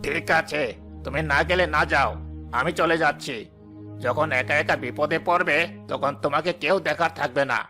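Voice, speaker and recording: Over loud music, a cartoon village headman, acted by a man talks in a fairly high voice. He is domineering and scornful, a greedy schemer who looks down on the poor. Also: moderate pace. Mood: angry, impatient. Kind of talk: animated moral story voice acting. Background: loud background music.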